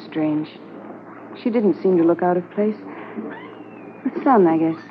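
A woman's voice: fairly low pitch, a little slow, lightly acted. Wistful wife in tinny 1950s radio drama, woman acting. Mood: reflective. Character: wistful, anxious, affectionate Mountie's wife. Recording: muffled noisy 1950s radio transcription.

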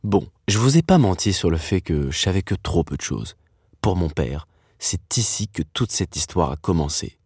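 A man's voice: low voice, very animated, moderate pace, darkish tone, slightly rough, no room sound, studio quality. A man in his thirties narrating a personal documentary. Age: 30s.

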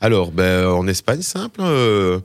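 A man with a fairly low voice, enthusiastic and casual as a football podcast co-host. He often tags his sentences with an added "hein". The sound is close and dry. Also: very animated, unhurried, dry recording.

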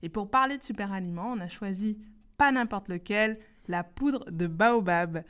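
A woman hosting a podcast interview, upbeat and playful, laughing often and firing off quick questions. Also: mid-range voice, a bit bright, clear, resonant tone. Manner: quick-fire quiz questions. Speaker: podcast host interviewing a guest. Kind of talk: casual interview chat.

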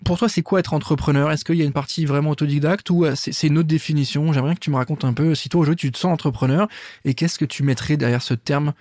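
Relaxed male podcast interviewer. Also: fairly high pitch, fast talker, no room sound, studio quality. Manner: relaxed, conversational, hesitant fillers. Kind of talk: interview questions, casual.

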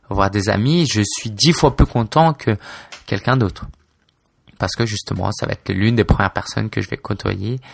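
A man's voice: on the low side, creaky, dry recording, studio quality. A man in his twenties from France, a blogger talking casually and informally to his listener, hesitant, with many fillers and restarts. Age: 20s. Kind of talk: casual solo talk to camera.

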